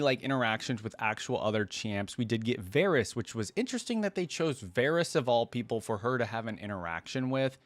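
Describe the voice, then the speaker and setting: Male reaction host, chatty and musing, average pitch, dry close mic. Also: no room sound. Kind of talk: casual off-the-cuff commentary.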